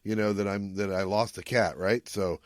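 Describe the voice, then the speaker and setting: A man co-hosting a podcast, retelling a story in casual chat with animated, acted-out quotes. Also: lowish voice, moderate pace, dry recording, clean studio sound. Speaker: podcast co-host. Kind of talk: casual recap chat about retro TV sci-fi.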